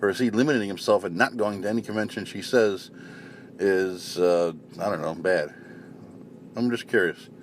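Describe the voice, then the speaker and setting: A man calls in with a casual voicemail. His voice sits a little low, and he talks bluntly and informally. A faint layer of noise runs behind him. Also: moderate pace. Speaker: podcast caller.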